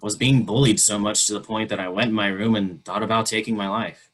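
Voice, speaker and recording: Low-voiced reader for an emotional teen role in a video call play reading, sincere and subdued. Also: male voice, steady tone, quick pace, poor audio. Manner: earnest, quietly emotional.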